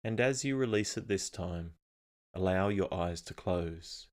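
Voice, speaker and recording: Very deep-voiced man guiding meditation. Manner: calm, measured, instructional. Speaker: meditation guide. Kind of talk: scripted guided meditation.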